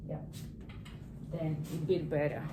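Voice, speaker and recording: A hoarse woman, a nurse, giving plain health advice in a casual vlog and repeating key words as she explains. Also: low voice, level tone, slow, heavy background noise. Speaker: nurse vlogger.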